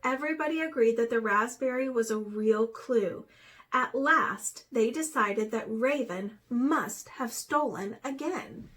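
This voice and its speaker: A woman, a teacher, reading a children's storybook aloud in an expressive way. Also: on the high side, unhurried.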